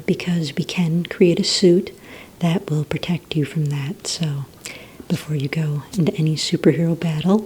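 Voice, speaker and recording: A woman whispering breathily in a soft-spoken ASMR roleplay as a polite, encouraging receptionist, asking questions in a gentle, hesitant, unhurried way. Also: deep voice, slight background noise. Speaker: ASMR roleplay performer as a villain recruitment receptionist.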